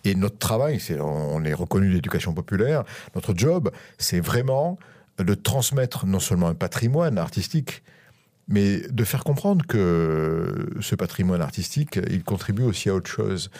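A man's voice: low-pitched, warm tone. A middle-aged man from France, speaking as an interview guest, hesitant with many fillers and restarts. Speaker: guest, school director. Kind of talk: interview on radio.

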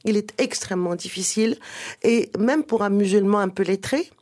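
A woman's voice: medium pitch, normal speed, darkish tone. A woman whose first language is Arabic, a guest author explaining at length in a radio interview with many fillers and restarts.

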